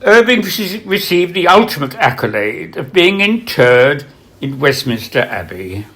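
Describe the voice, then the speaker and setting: A man reading literary biography aloud as its author, in a solemn, measured way with dramatic pauses. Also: on the high side, a bit bright. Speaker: author reading from own book.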